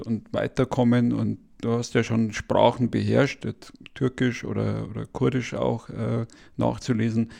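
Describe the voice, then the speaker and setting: A man's voice: mid-range voice, creaky, mellow. A man hosting a podcast interview, asking questions hesitantly with many fillers. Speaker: podcast host.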